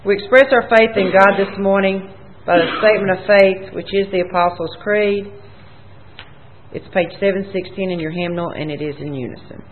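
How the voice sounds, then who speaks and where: A man leading a church service, reading the creed aloud in a measured, formal way. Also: high-pitched, steady tone, a little hiss or hum. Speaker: worship leader. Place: church sanctuary. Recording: tinny, phone-like church audio.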